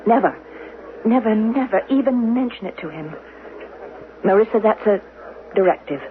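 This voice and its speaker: A woman's voice: mid-range voice, expressive, normal speed, natural voice. A narrowband, faintly noisy 1970s radio recording of an actress playing an anxious woman caught in a secret plot. She is tense and fretful, a despairing mother speaking with period radio drama intensity. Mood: stern warning.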